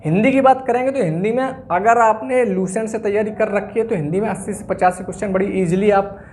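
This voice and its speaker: A man's voice: sits high, brisk, a little mellow, slight background noise. Man explaining exam preparation. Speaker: exam coaching presenter. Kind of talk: informal instructional explainer.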